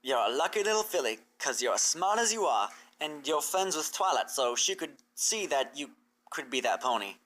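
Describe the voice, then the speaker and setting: A performer as a bright, folksy big-sister farm pony. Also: medium pitch, very animated, moderate pace. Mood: warmly frank. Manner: folksy, frank, reassuring. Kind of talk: cartoon fan fiction character reading.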